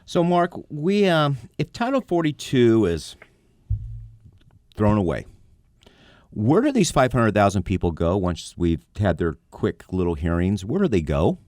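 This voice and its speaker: Lively, low-voiced radio host. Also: male voice, unhurried, dry recording, studio quality. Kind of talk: talk radio interview.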